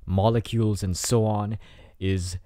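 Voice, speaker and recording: A man's voice: lowish voice, little pitch movement, unhurried, dark tone, no room sound, studio quality, lightly acted. A man playing a cocky, teasing teenage delinquent student in an ASMR roleplay, with a smug, taunting delivery. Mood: dismissive, sarcastic.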